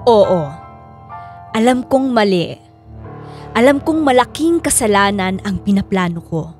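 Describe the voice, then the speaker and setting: A woman's voice: medium pitch, animated, unhurried, darkish tone, soft background music, lightly acted. A woman with a Filipino accent playing a remorseful young woman narrating a radio drama confession.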